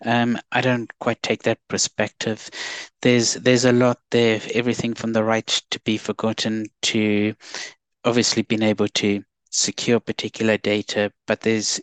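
South African male guest expert, measured and methodical, low monotone, dry close mic. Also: clear, resonant tone, dry recording. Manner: measured, restarts words, lists points. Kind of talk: podcast interview.

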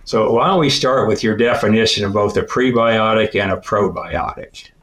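A man hosting a podcast, asking questions in a plain, halting conversational way. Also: on the low side, a bit bright. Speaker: podcast host. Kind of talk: conversational interviewing.

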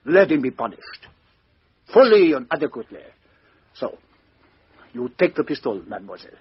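An actor playing a shrewd, methodical foreign detective in a 1950s radio mystery, speaking in a deliberate, courteous, theatrical way with rhetorical questions. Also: male voice, a little high, sing-song, husky, exaggerated voice. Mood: accusing, assured. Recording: dull lo-fi 1950s radio recording.

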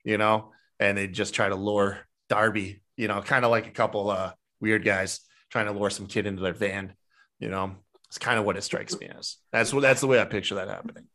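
A man's voice: on the low side, fairly even intonation, a little fast, pushed and bright. A man on a podcast rambling casually with lots of fillers. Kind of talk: casual wrestling chat.

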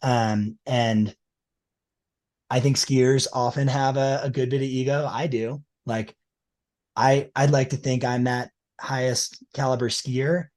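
A man's voice: mid-range voice, fairly even intonation, slow pace, darkish tone. A man explaining product details with enthusiasm in a casual interview, using plenty of fillers like "you know" and "like". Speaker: ski boot liner maker representative.

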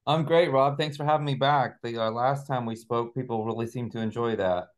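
A man speaking as a podcast guest on a video call, explaining ideas in a deliberate, measured way. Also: mid-range voice. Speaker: podcast guest, author and researcher. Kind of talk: explanatory interview talk. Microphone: remote video call.

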